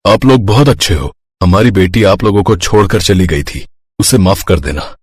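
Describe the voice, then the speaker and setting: Man playing earnest, humble father, lowish voice. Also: normal speed, dry recording. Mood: grateful, humble. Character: humble, caring middle-aged father of family. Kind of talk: dramatised moral story acting.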